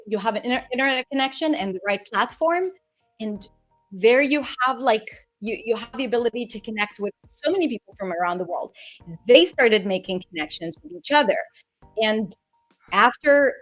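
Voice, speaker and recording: Israeli-accented woman telling her story. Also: a little high. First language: Hebrew. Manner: enthusiastic, animated storytelling with restarts. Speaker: community founder, guest. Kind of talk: casual interview talk.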